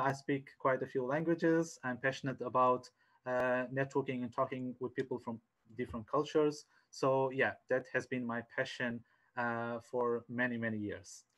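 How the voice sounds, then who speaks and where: Halting man presenting. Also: mid-range voice, steady tone, fairly bright tone. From Portugal. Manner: hesitant, halting, repeats words. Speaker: sales coach giving a webinar. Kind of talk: informal online presentation. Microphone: headset on a video call.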